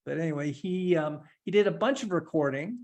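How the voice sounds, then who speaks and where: Male instructor in his forties, casual tutorial delivery, step-by-step with light humor, slightly high voice. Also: brisk, darkish tone. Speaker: instructor giving a software demo. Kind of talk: screen-share tutorial, casual explanation.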